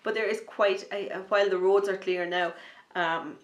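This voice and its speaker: A woman hosting a knitting podcast, chatting in a rambling, enthusiastic way, a little sniffly from a starting cold, sometimes dropping to a soft near-whisper. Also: mid-range voice, steady tone, normal speed, roomy sound, highs cut off. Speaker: knitting podcast host and designer. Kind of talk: casual chat to camera.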